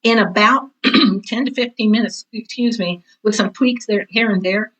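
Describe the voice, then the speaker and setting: Bright-voiced woman in her sixties, chatty. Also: medium pitch, normal speed, lo-fi sound. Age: 60s. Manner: chatty, emphatic, often quotes herself and others. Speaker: guest, veteran theater teacher and author. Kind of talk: animated conversational interview, anecdotes.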